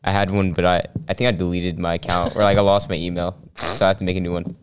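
A man's voice: deep voice, flat delivery, quick pace, slight background noise. A young man co-hosting a podcast, bantering in a loose, slangy way. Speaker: podcast co-host. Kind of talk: casual chat.

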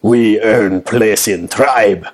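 A man voicing a huge, proud goliath warrior who speaks in clipped, broken sentences and chants and booms his words. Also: extremely slow. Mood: chanting. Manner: booming, chanting, clipped grammar.

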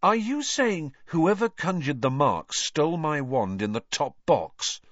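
A man's voice: on the high side, sing-song, unhurried, fairly bright tone, pitched above the performer's own voice, full character voice. A man voicing an earnest, plain-spoken teenage boy in an audiobook story. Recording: dull lo-fi audio, roomy echo.

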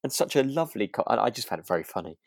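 Low-voiced British man, casual and dry-sounding recording. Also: sing-song, rapid-fire, creaky, no echo. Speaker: podcast co-host. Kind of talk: casual chat.

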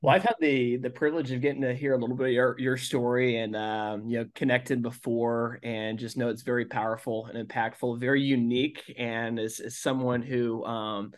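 A young man hosts a podcast with eager energy, stacking up excited phrases. He welcomes listeners and prompts his guest warmly. His voice sits at a middling pitch. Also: normal speed, hardly any pauses, dry recording, studio quality. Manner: enthusiastic, repetitive hype phrases. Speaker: podcast host. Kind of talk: upbeat podcast intro and interview.

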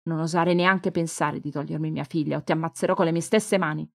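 A woman's voice: fairly low pitch, fairly even intonation, fast, no echo, studio quality, close to an everyday voice. Woman voicing a fierce, defiant young woman. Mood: menacing. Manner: fierce, loud. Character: defiant young woman confronting her mother.

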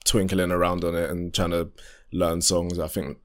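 A young man, a musician chatting casually in an interview, relaxed and full of fillers and restarts. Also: normal speed. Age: young adult. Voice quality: deep voice. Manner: relaxed, rambling, many fillers and restarts, laughs often. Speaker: independent musician guest.

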